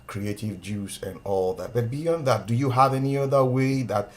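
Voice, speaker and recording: A man's voice: mid-range voice, moderate pace. A man hosting an interview, asking questions and encouraging his guest with short replies. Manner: encouraging, frequent short backchannels. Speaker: interviewer host.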